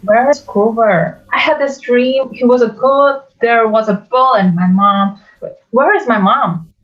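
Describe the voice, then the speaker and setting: A woman's voice: fairly high pitch, unhurried, lightly acted. A woman playing an impulsive, bewildered young demigod boy in a theatrical table read, earnest and exclamatory. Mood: confused. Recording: dull lo-fi audio with music.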